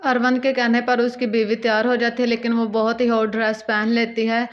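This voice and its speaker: A woman's voice: a little high, monotone, a little fast. A woman narrating a scripted Hindi cartoon story as storyteller, in a dull lo-fi recording.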